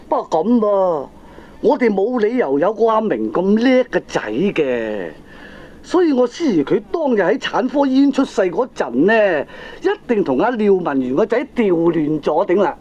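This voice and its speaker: A man's voice: high-pitched, a little mellow, full character voice. An actor playing a foolish, money-grubbing middle-aged father and gambler in a Cantonese comedy radio drama, with a Hong Kong accent and exclamatory, wheedling, argumentative speech. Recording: dull lo-fi old cassette tape.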